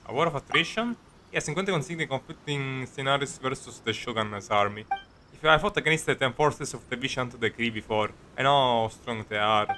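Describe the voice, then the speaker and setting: A man streaming a video game, casually commenting and reading dialogue aloud in a halting, sweary way. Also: medium pitch, noisy background.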